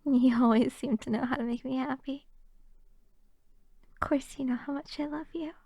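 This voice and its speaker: A young woman playing a shy, affectionate girlfriend in an intimate ASMR roleplay, soft and hesitant, often slipping into whispers close to the microphone. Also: high voice, fairly even intonation, moderate pace, no room sound.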